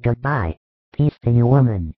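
A man's voice: medium pitch, expressive, a little slow, no room sound. Man as solemn male savior, authoritative, firm, merciful, scripture drama, thin muffled recording.

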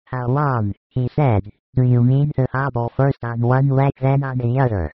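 Man acting kindly holy master, medium male pitch, measured, solemn, audiobook drama, close dry sound. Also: steady tone, no room sound, narrow, tinny audio, natural voice.

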